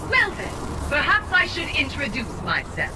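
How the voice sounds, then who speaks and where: A woman's voice: sits high, huge pitch swings, moderate pace, noisy background. A woman voicing a seductive, teasing female AI villain in a video game. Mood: taunting.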